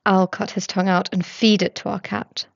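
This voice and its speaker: A woman voicing a sour, deadpan young man. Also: mid-range voice, moderate pace, gravelly, no echo, dull audio, natural voice. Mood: dry, irritated. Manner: flat monotone, biting. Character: irritable dry-toned young man.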